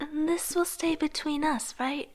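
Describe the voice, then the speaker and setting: A young woman playing an aspiring artist, narrating her own story in a soft, introspective, wistful way. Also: high-pitched. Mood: shy, amused. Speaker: narrator and lead character. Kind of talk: reflective first-person narration with dialogue.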